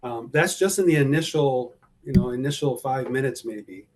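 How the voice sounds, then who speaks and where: A man being interviewed about his anxiety, speaking hesitantly with frequent pauses and fillers. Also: mid-range voice, darkish tone, a little hiss or hum. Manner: hesitant, many fillers and restarts. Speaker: guest describing his driving anxiety. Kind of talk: personal account in an interview.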